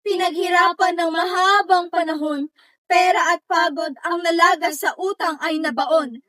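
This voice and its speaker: A woman's voice: extremely high pitch, fairly even intonation, moderate pace, bright, forceful tone, no room sound. A woman playing an overworked, aching farm animal in anguished, dramatic reader's theatre verse.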